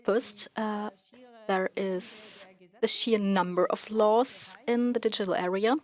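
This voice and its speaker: A woman speaking as a digital rights advocate at a conference panel, deliberate and pausing with fillers. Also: medium pitch.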